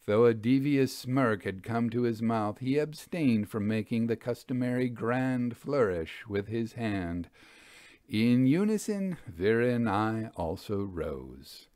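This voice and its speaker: Male storyteller for dramatic fiction: medium-pitched, expressive melody, scripted narration. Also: takes it slowly, dry recording.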